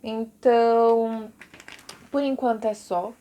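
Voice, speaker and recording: Woman, Brazilian accent, fairly high voice, teacher, informal video lesson, chatty explanatory delivery. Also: fairly even intonation, slow, warm tone, dull audio, a little hiss or hum. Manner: chatty, explanatory, frequent check-in tags. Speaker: teacher giving a recorded art class.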